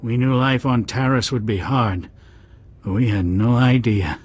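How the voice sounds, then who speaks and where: A shaken, grateful settler. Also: male voice, mid-range voice, a little slow, husky. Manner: earnest, thankful. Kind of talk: video game cutscene.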